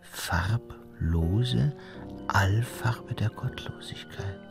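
Casting: ominous philosophical narrator, breathy half-whisper, extremely slow, creaky, loud music underneath. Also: male voice, on the low side, lively intonation, heavily acted. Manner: portentous, broken into short phrases. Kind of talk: radio play prologue narration.

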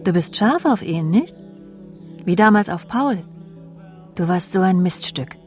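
A woman's voice: fairly low pitch, lively intonation, unhurried, a little mellow, soft background music. An actress playing a possessive, jealous woman in a radio crime drama, pointed and confiding. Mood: needling. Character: possessive, jealous, needling woman recounting a feud. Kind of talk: German radio crime drama, dialogue and confessional narration.

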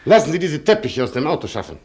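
An actor in a German radio play playing a haughty, stubborn cavalry colonel with a husky voice and a pompous, clipped, condescending delivery. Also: male voice, fairly high pitch, big pitch swings, quick pace, a little mellow, exaggerated voice. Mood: imperious.

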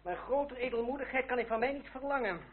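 A man's voice: very high voice, fast talker, slightly rough. An actor playing a bitter, proud young man in an old radio play, speaking formally and bitingly to those who wronged him. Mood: sardonic, scornful. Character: bitter, proud young revolutionary, sardonic estranged son. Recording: old radio recording, phone-like, faint noise.

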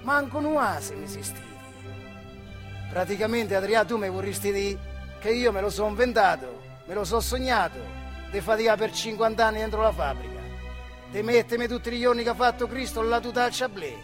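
Actor as a high-voiced old Italian factory worker, music behind. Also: male voice, animated, a bit bright. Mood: confiding, resigned. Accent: central Italian. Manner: colloquial, halting, addressing a comrade directly. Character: weary, stubborn working-class factory labourer talking with his old comrade. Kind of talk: theatrical dialect monologue.

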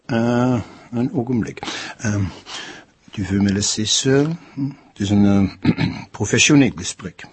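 An actor playing an unruffled, secretive bourgeois notary in a radio drama, with a Flemish accent, slipping French phrases into measured speech. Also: male voice, fairly low pitch, husky. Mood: calm, guarded.

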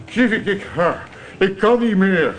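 Casting: exasperated overworked cop, high-pitched, agitated and repetitive, broad radio-comedy delivery. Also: male voice, a little slow, music in the background. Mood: frantic, exasperated. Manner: agitated, repeats words insistently, stammering. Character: frantic, overworked American police subordinate, exasperated and pedantic.

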